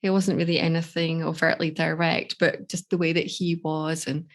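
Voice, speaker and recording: A woman's voice: low-pitched, little pitch movement, moderate pace. A middle-aged Scottish woman, a life coach, telling her personal story in a candid, reflective way on a podcast interview. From Scotland. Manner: reflective, candid, laughs easily.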